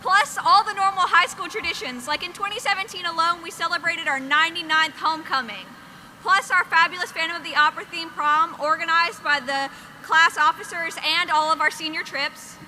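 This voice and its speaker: A woman's voice: extremely high pitch, fairly even intonation, fairly quick, sharp tone, a little hiss or hum. A young woman graduating student giving an upbeat scripted speech at a graduation ceremony. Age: young adult.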